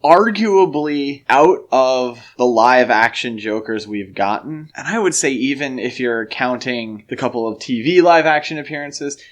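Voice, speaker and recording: A man with a medium-pitched voice speaks as a podcast co-host. His delivery is casual and conversational, with an analytical bent suited to relaxed discussion. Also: unhurried, hardly any pauses.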